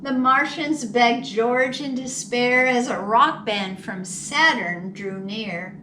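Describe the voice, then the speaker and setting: A woman reading a picture book aloud to young children in an expressive, dramatic storytelling manner. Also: a little high, slow. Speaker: library storytime reader. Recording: roomy, distant mic with faint noise.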